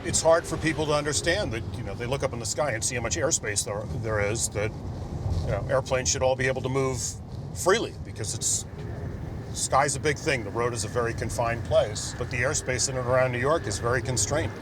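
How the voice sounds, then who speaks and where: A man's voice: mid-range voice, fairly quick. A man who works as an air traffic controller, talking casually in an interview.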